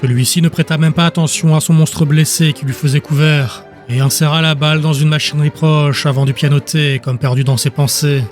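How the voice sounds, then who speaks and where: A man's voice: a little high, fairly even intonation, brisk, few pauses. A man reading dramatic scripted narration as an audiobook narrator. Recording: music behind the voice.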